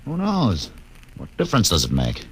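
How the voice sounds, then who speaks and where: An actor playing a calm, thoughtful male ship's doctor in a 1950s radio drama. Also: fairly low pitch, normal speed, slight background noise. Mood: musing.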